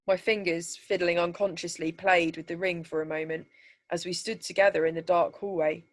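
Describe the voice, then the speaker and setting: A woman narrating a scripted short story aloud in a measured, deliberate way, like a teacher reading to pupils. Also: on the low side, fairly even intonation.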